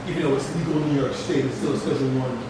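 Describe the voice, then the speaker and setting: A man, a physician, asking a panel a question, halting and repeating his words as he goes. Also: mid-range voice, steady tone. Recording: echoey hall from far off, loud noise.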